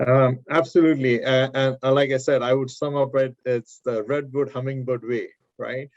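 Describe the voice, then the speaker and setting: A man's voice: medium pitch, fairly even intonation, normal speed, a little mellow. A man hosting a business webinar interview, agreeable and hesitant, with many fillers, heard through dull lo-fi audio. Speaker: webinar host.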